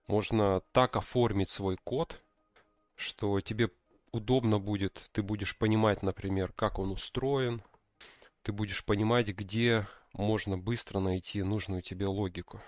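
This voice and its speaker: A man's voice: lowish voice, steady tone, unhurried, dry recording, soft background music. A man co-hosting a podcast, explaining things casually and hesitantly as he thinks aloud. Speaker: podcast co-host explaining backend development. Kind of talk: casual explanatory tech discussion.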